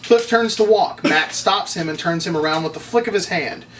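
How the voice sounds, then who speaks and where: Higher-voiced male narrator, distant mic. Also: moderate pace, fairly bright tone. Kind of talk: reading stage directions at a table read. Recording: distant mic, noisy room.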